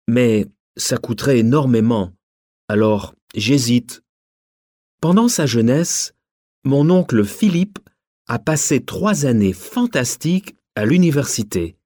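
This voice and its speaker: A man presenting a recorded listening exam. He reads at a slow, deliberate pace with plenty of pitch movement. The sound is dry and close. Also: a little mellow, no room sound. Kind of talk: scripted exam narration.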